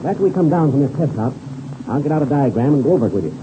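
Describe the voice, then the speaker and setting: A man's voice: medium pitch, animated, racing, lightly acted. An actor playing a confident seasoned pilot mentoring a boy in 1930s radio serial style, assured and slangy. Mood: assured, enthusiastic. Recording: scratchy, tinny old-time radio recording, noisy.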